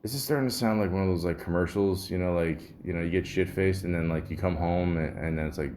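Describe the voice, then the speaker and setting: A man speaks in a low, deep voice that sounds a little muffled from a sore throat. He hosts a podcast alone, talking in a loose, rambling, grumbling way, with casual swearing mixed in. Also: fast talker, a little mellow, a little hiss or hum. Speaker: podcast host. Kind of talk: casual solo podcast rambling, reading symptom lists aloud.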